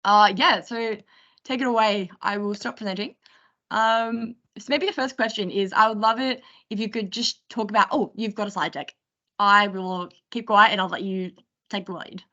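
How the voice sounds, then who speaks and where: Bright-voiced woman hosting a call. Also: mid-range voice, fairly even intonation, normal speed. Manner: chatty, handing over to the guest. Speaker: host. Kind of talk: casual video call hosting. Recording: dull lo-fi video call audio.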